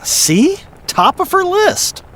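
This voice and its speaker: A man's voice: very high voice, big pitch swings, slow, a bit bright, exaggerated voice. An actor playing a talkative, upbeat middle-aged father who is a lawyer, in an audio drama. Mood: encouraging. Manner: chatty, upbeat, soothing.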